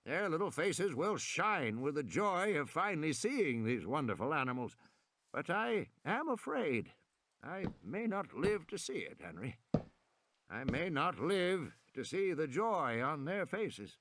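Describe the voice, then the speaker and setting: A male narrator acts out an elderly audiobook gentleman in his seventies, genial yet stubborn. He speaks with swooping, animated pitch and lingers sentimentally over his words, insisting as he goes. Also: measured pace, heavily acted. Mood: wistful, sentimental. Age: 70s. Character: stubborn, sentimental old man, genial rich host. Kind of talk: audiobook character acting.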